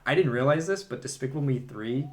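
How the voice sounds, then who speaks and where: A man's voice: mid-range voice, expressive, quick pace, a little mellow. A man co-hosting a podcast, talking casually in a film discussion. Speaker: podcast co-host.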